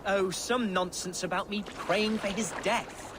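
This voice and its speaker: A man's voice: sits high, steady tone, a little slow, background noise. A man playing a melodramatic, naive young nobleman in a video game, florid and theatrical in his delivery. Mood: indignant. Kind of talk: video game cutscene acting.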